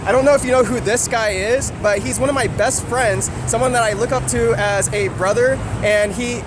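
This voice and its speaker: A man's voice: extremely high pitch, fairly even intonation, normal speed. A man hosting a vlog, talking eagerly into a handheld camera outdoors and interviewing a friend. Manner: upbeat, eager, repeats himself. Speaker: vlog host. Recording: loud outdoor traffic noise.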